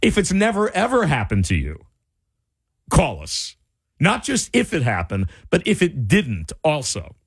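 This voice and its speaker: A male radio host in casual on-air chat, animated and incredulous, his voice starting to go. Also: fairly high pitch, gravelly, slightly rough, no echo, clean studio sound. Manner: animated, incredulous, quick asides. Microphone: studio microphone.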